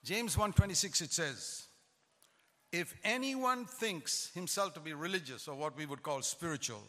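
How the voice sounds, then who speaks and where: A man's voice: a little high, expressive, normal speed, fairly bright tone, in a large hall. A man who is a pastor, preaching a sermon with emphatic, repetitive cadence.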